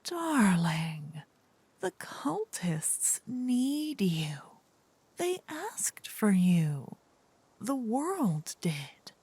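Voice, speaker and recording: A woman's voice: mid-range voice, sing-song, darkish tone, dry recording, full character voice. A woman voicing a seductive, possessive demon queen in an intimate fantasy ASMR roleplay, often whispering, with teasing, smug menace. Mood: doting, pleased. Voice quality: breathy, husky, often whispering. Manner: slow, teasing, doting menace. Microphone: close, dry microphone.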